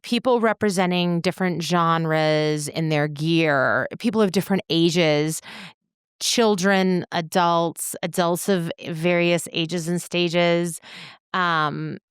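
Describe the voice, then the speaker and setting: A woman co-hosting a podcast, telling stories in a casual, reflective way with thoughtful, measured phrasing. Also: on the low side, smooth, no room sound, clean studio sound. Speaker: podcast co-host. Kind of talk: casual reflective storytelling in conversation.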